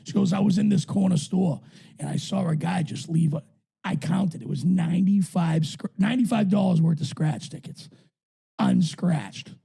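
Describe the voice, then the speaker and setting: Raspy man with a gravelly voice. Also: high voice, normal speed, warm tone. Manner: swearing, laughing, doing voices in stories. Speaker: podcast co-host. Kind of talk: casual chat, storytelling.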